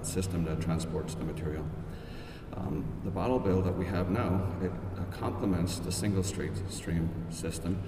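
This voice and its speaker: A middle-aged Irish man with a very low voice talks slowly and carefully, stopping often on fillers. He is picked up from a distance in a large, reverberant hall, and noise runs underneath. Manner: measured, careful, with many fillers and restarts. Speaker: economist presenting a study. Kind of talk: press conference remarks. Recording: echoey hall, distant mic, background noise.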